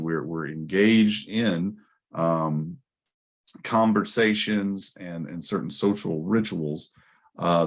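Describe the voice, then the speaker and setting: A man giving a scholarly conference presentation, explaining ideas in a hesitant, pausing way. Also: deep voice. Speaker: academic presenter.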